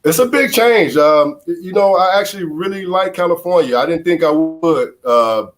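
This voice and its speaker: A man's voice: fairly high pitch, animated, normal speed. A middle-aged man from Chicago, a former baseball player guesting on a show, talking in a laid-back way with a stuffy, nasal voice from a flu. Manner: laid-back, casual, many fillers.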